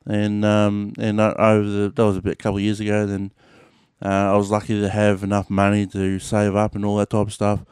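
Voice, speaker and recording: Aussie bloke yarning on his podcast, low voice, lots of ums, dry close mic. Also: completely flat delivery, a little fast, darkish tone, smooth, no room sound. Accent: Australian. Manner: rambling, lots of fillers, swears casually. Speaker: podcast host. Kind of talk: casual monologue.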